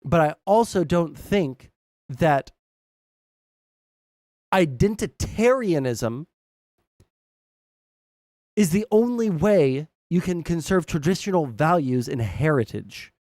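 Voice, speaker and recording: A man's voice: a little high, animated, slow, long pauses, darkish tone, no echo, studio quality. A young American man giving casual, rambling, blunt political commentary as a livestream host, swearing freely. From southern United States.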